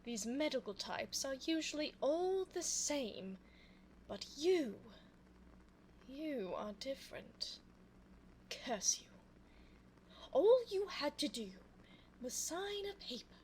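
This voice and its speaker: A woman playing a sly, evil, seductive immortal vampire in a close-miked ASMR audio roleplay, theatrical and coy, slipping into breathy asides. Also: high voice, very animated, slow, pauses often, a little mellow, heavily acted. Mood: menacing, taunting. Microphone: close binaural 3Dio microphone. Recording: faint background noise, close binaural mic.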